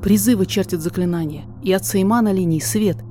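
A woman reading a rhymed story aloud as theatrical, deliberate dramatic narration. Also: mid-range voice, dark tone. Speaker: podfic reader. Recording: music behind the voice.

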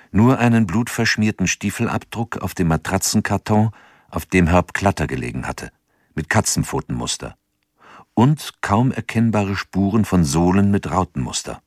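A man giving sober, measured scripted narration for a radio play. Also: low voice, no room sound, clean studio sound.

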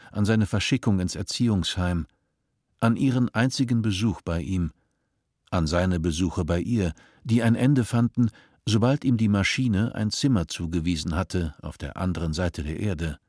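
A man reading scripted narration in a calm, measured way. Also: deep voice, no echo, clean studio sound.

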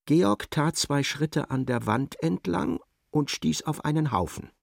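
A man's voice: a little high, lively intonation, measured pace, creaky, no echo, clean studio sound, heavily acted. A man narrating a literary radio play in a precise, wry, dramatic storytelling manner.

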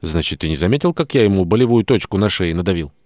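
A man's voice: low voice, animated, quick pace, a little mellow, no room sound, studio quality. A man voicing a wry, impatient male trickster hero in an audiobook, sardonic and commanding.

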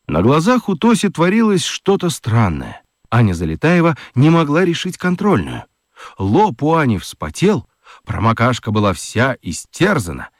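Very expressive male storyteller, dry close sound. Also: mid-range voice, dry recording. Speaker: narrator. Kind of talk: expressive storybook narration for children.

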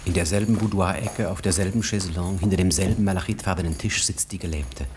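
A man playing a narrator for a period radio drama, with a low, deep voice. He tells the story in a measured, literary way and watches every detail, over clearly audible background noise. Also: natural voice. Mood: measured, observant. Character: sober male narrator of a literary period tale.

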